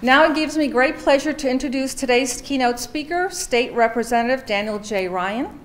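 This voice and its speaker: A woman's voice: high-pitched, normal speed, noisy background. A woman introducing speakers at a graduation ceremony, reading out titles and stumbling now and then over names. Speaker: program presenter introducing speakers.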